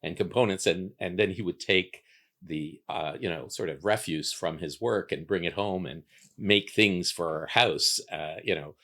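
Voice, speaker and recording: Deep-voiced man, musing, full of fillers. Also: moderate pace, fairly bright tone. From Canada. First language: Italian dialect. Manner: reflective, with many fillers and repeated starts. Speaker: guest, architect. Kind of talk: relaxed conversational interview.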